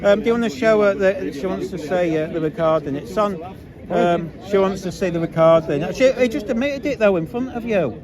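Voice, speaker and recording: A man's voice: sits high, brisk. A man arguing and complaining indignantly to police on a city street, stopping and restarting as he goes. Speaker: protester filming a street confrontation. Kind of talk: heated street argument. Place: outdoor city street at a protest. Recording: street recording with crowd noise. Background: loud protest crowd.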